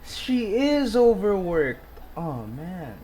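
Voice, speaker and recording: A woman's voice: fairly low pitch, big pitch swings, very slow, warm tone, slight background noise, rough recording. A woman giving casual let's-play commentary, reacting in short interjections. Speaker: gaming video host.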